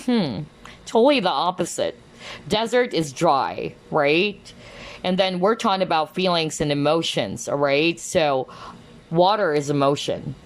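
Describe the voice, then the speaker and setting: Low-voiced chatty woman tarot reader. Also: measured pace. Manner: chatty, checks in with tag questions; animated, exclaims often. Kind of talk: casual pick-a-card reading.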